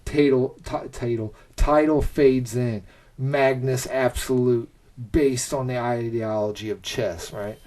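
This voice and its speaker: Man chatting casually, halting and sweary. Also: medium pitch, steady tone, a little mellow. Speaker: podcast co-host. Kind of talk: casual chat, pitching film ideas.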